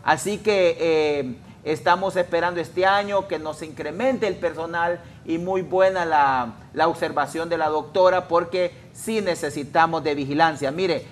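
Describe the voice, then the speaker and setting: A man speaking as a traffic police official in a studio interview, explaining in a measured, hesitant way with many fillers. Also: on the high side.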